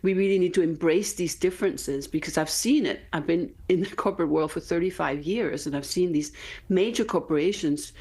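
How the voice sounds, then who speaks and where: Low-voiced Danish woman author. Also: fairly quick, a little mellow, breathy edge. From Denmark. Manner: earnest, emphatic, frequent "right?" tags. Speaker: author guest. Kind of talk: TV interview.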